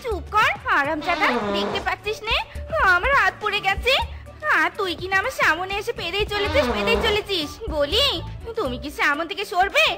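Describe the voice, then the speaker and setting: Nagging fox wife for a comedy cartoon, tiny shrill voice, exclamatory, music underneath. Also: female voice, expressive, normal speed. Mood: scolding. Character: sharp-tongued, scolding fox wife. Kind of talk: comic cartoon voice acting. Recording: music behind the voice.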